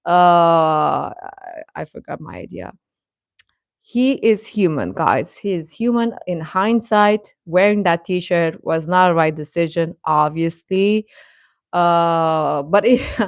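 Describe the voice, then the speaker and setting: A woman hosting a livestream, giving casual fan commentary to her chat in a rambling, halting, emphatic way that repeats points. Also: on the low side, dry recording, clean studio sound. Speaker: livestream host.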